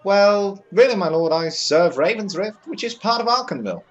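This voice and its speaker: Man playing a lively-pitched, courteous young knight. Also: normal speed. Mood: polite, wary. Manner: deferential, formal. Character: polite, wary young knight and magus. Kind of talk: fantasy audio drama acting. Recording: slightly dull, muffled sound.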